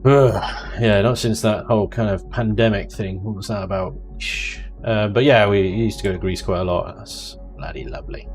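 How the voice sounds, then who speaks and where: A man hosts a casual gaming stream and chats away in a low, easygoing voice. He jokes a lot and drifts into mock voices. Quiet music plays under him. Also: fairly even intonation, normal speed. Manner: chatty, jokey, drifts into mock voices and laughter. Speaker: livestream host. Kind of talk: casual chat while gaming.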